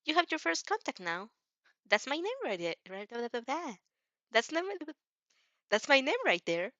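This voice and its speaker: Woman voicing an earnest, high-pitched teenage boy. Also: sing-song, normal speed, sharp tone, dry recording, highs cut off, heavily acted. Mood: cheerful, puzzled. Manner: stammering, eager, reassuring. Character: earnest, helpful, nervous teenage boy student.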